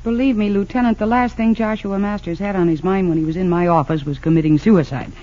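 An actress playing a persistent, quick-witted American defense attorney in an old radio drama, earnest and assertive. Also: female voice, medium pitch, steady tone, warm tone, lightly acted. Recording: muffled 1940s-50s radio recording.

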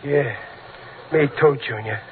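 A man's voice: mid-range voice, takes it slowly, lightly acted. An American actor playing a nervous, blustering middle-aged father who stammers and hesitates, in 1940s radio comedy style. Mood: flustered. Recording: scratchy, noisy, narrow-band old radio recording.

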